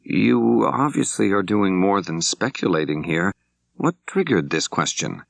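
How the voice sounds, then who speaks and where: A man voicing a level-headed law professor turned amateur sleuth in an audiobook, with direct, measured questioning. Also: sits low, lively intonation, creaky, no room sound, exaggerated voice. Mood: probing, calm.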